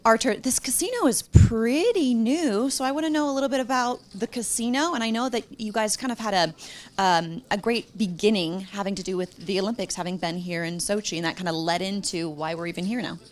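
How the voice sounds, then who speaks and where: A woman's voice: mid-range voice, brisk. A woman in her thirties hosting an on-camera interview, with a bubbly and chatty manner. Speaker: poker interviewer. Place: casino floor.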